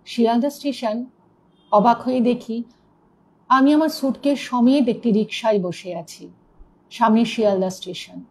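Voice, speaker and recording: A woman storyteller reading a ghost story aloud in an expressive, suspenseful narrating manner. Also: fairly high pitch, a little slow, long pauses, low-quality recording.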